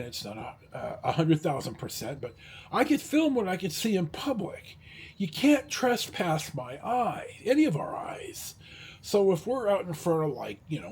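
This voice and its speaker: A man talking casually in a rambling, halting vlog style, blunt and a little salty, with a husky, rough-edged voice. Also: high-pitched, darkish tone. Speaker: vlogger.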